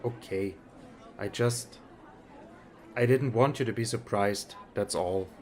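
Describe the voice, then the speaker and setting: A man's voice: fairly low pitch, slow pace, darkish tone. A man playing a weary, resigned middle-aged father in an amateur audiobook drama, speaking frankly in a casual, subdued way. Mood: apologetic. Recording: background crowd chatter.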